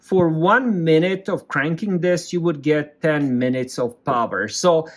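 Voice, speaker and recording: A man's voice: fairly high pitch, measured pace. Man casually walking through a product. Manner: plain explanatory, step by step. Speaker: product reviewer. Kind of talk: casual product review, hands-on walkthrough.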